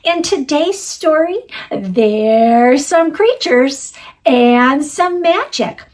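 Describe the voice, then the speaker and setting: A woman reading a children's storybook aloud as host, animated and instructive, speaking directly to young listeners. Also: high-pitched, drawn-out words.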